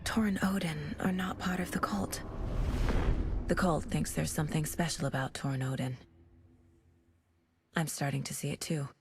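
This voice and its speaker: An actress playing an earnest, troubled investigator in a video game, questioning in a hushed, intense manner. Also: female voice, sits low, steady tone, normal speed, long pauses. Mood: pensive. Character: earnest, troubled woman investigator probing her own mind. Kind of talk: cinematic video game acting. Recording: noisy game ambience behind.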